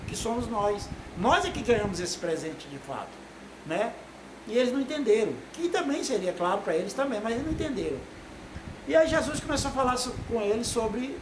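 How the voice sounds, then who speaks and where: A man, giving an informal, rambling sermon to a video audience with many tag questions. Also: high voice. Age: 60s. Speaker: lay preacher. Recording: echoey room with noise.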